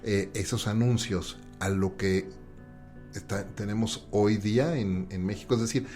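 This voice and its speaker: A man's voice: lowish voice, steady tone, measured pace, mellow, faint music behind. A man with a Mexican accent hosting a conversational podcast interview, posing long, reflective questions.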